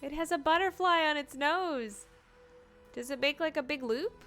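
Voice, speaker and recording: A woman's voice: high-pitched, normal speed, a bit bright, faint music behind. A woman streaming a game with casual, playful, exclamatory live reactions.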